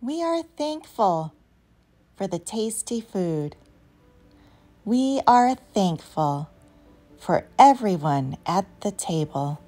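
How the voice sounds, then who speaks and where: Woman, children's storyteller, expressive read-aloud, animated intonation, unhurried pace, background music. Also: medium pitch.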